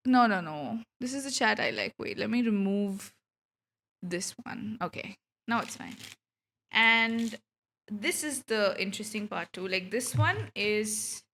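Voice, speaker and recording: A woman's voice: fairly high pitch, fairly bright tone. A woman from India chatting casually on a game livestream in a scattered, giggly way. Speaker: streamer. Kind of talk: casual livestream chat while playing a game.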